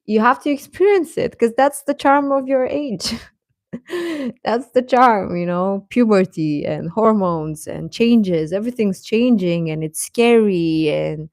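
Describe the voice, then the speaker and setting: A woman's voice: mid-range voice, unhurried, darkish tone, no echo. Reassuring woman in a dry, close recording. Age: adult. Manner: earnest, reassuring, repeats phrases for emphasis. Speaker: live streamer giving advice to teenage viewers. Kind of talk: casual livestream chat, encouraging advice.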